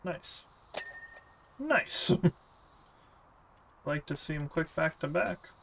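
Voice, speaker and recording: Male game streamer, bright voice, easygoing rambling chat with viewers. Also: fairly high pitch, lively intonation, very slow, vocal fry. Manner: laid-back, rambling, answers chat questions with small laughs. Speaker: gaming streamer. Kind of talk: casual gameplay chat with viewers.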